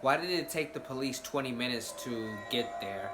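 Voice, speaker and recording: A man's voice: mid-range voice, animated, normal speed. A man hosting a reaction show, throwing in short, blunt, slangy comments in a casual way. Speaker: host of a reaction channel. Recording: noisy room recording.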